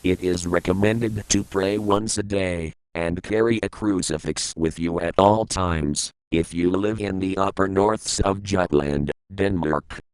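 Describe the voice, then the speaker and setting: A man playing a solemn government emergency broadcast announcer in an analog horror piece, formal and measured. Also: sits low, clean tone, dry recording, poor audio.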